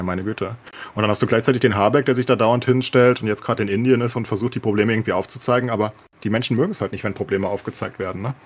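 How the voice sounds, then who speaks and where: A man's voice: fairly low pitch, breathy edge. A man talks in casual, opinionated discussion as a podcast co-host, emphatic and colloquial with English slang mixed in.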